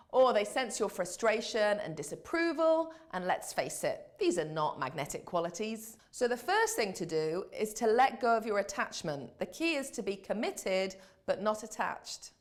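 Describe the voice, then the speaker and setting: A woman's voice: medium pitch, very animated, moderate pace. A woman hosting a business advice show, giving scripted coaching to camera in a polished, instructive and emphatic way.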